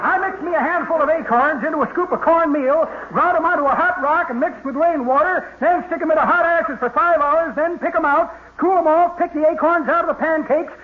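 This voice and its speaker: A man's voice: very high-pitched, fairly quick, hardly any pauses. An actor playing a cranky old western man who spins tall tales and calls everyone son and daughter, in a 1950s radio comedy. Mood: cackling, rambling. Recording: tinny noisy old radio broadcast.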